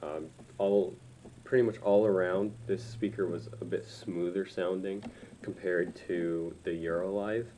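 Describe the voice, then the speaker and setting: A man's voice: low voice, steady tone, measured pace, mellow, slight background noise. A man in his twenties chatting casually to camera in a hesitant, rambling, informal way as he gives a review. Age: 20s. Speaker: reviewer of audio gear. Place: small room indoors.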